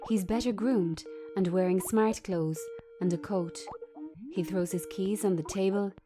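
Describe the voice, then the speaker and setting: A woman's voice: mid-range voice, fairly even intonation, unhurried, music in the background. A woman narrating a script, reading out stage directions in short present-tense sentences.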